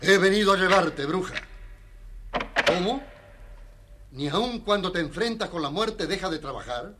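Cruel, high-pitched taunting jailer. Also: male voice, animated, unhurried, pushed and bright, a little hiss or hum, heavily acted. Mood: scornful, impatient. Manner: menacing, mocking. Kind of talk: dramatized storybook record acting.

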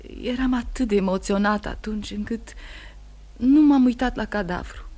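An actress playing a proud, distressed accused wife in a radio drama, speaking with restrained, earnest emotion. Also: female voice, medium pitch, warm tone, lightly acted. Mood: shaken, hesitant. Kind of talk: mid-century radio theatre acting.

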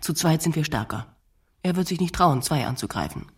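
A woman playing a nervous, wry, stubborn companion in a radio play, with a husky, gravelly voice and deadpan, often near-whispered retorts. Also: low-pitched, fairly quick, no echo, clean studio sound, full character voice. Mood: panicky, stubborn. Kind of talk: German-language radio play dialogue.

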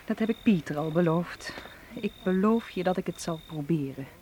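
A woman's voice: on the low side, normal speed, warm tone, lightly acted. An actress playing an anxious, impulsive young woman in a radio drama, speaking in an earnest, defensive way. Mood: grateful, evasive. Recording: clear noise, outdoor night insect ambience. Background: chirping crickets.

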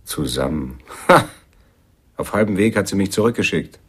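An actor in a radio play playing a disillusioned, melancholy male jazz pianist with a very deep, gravelly voice. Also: lively intonation, normal speed. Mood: bitter. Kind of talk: German radio play acting.

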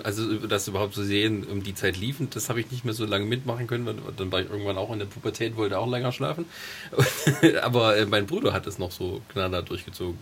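A man's voice: on the low side, a little hiss or hum. A man co-hosting a podcast, talking casually and conversationally with friends. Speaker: podcast co-host. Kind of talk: casual chat.

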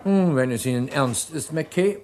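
A brusque prosecutor in a vintage radio drama, a fairly high voice that rises and falls with force. He gives clipped orders and argues coldly. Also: lively intonation, measured pace, background noise. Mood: brusque, commanding. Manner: clipped, commanding. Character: stern male public prosecutor, authoritative. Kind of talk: vintage radio play acting.

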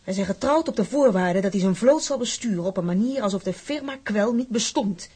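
An actress playing a feisty, proud working-class wife in a radio drama, fiercely loyal, blunt and sardonic. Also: female voice, medium pitch, lively intonation, a little fast, warm tone, husky. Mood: firm, earnest.

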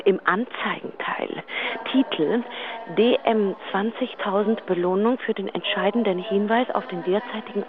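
Chatty female friend, gushing, phone message, tinny narrow sound, radio drama. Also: medium pitch. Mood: urgent. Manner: gushing, theatrical, hesitant asides. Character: chatty, breezy, gossipy female friend on answering machine. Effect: answering machine phone message. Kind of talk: German radio drama acting. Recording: thin phone line.

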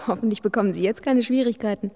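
A woman's voice: medium pitch, quick pace, dark tone, close to an everyday voice. An actress playing an eager, coy young woman with writing ambitions in a radio drama. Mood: shy. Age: young adult.

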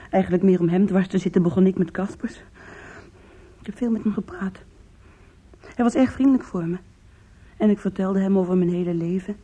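An actress in a Dutch radio play playing a weary, anguished young woman with a shamed past, confessional, halting and pleading. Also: medium pitch, mellow, close to an everyday voice. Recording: muffled, lo-fi old radio recording.

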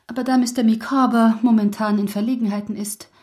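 Radio play role: actress as long-suffering genteel lady, higher-pitched, dramatic, plaintive delivery. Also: fairly even intonation, a little fast, darkish tone, natural voice. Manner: plaintive, theatrical. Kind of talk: German radio play acting.

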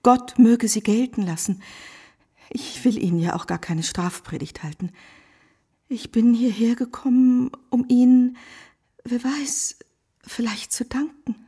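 An actress playing an anguished, pious, middle-aged abandoned wife of noble rank in a radio play, formal and pleading, with a breathy voice. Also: female voice, on the high side, unhurried, warm tone. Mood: restrained, tearful. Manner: formal, pleading, emotionally fraught. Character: anguished, pious abandoned baroness, earnest and dignified. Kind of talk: German radio play acting.

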